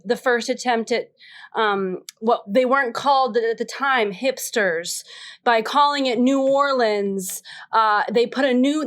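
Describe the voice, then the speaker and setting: A woman in her thirties on a comedy panel, improvising a mock explanation very casually, with lots of "like". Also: on the high side. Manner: casual, says "like" often. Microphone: video call.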